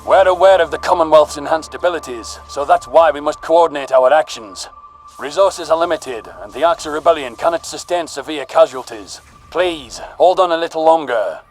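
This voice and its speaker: A man playing a composed human fleet commander over comms, firm, formal and measured, in a sci-fi audio drama. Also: on the high side, pitched above the performer's own voice, close to an everyday voice.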